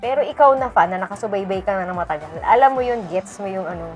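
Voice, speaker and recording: A woman's voice: medium pitch, fast, highs cut off. A Filipino woman chatting casually as a fan commentator, talking emphatically and repeating her phrases. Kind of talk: casual reaction chat. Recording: music playing behind the voice.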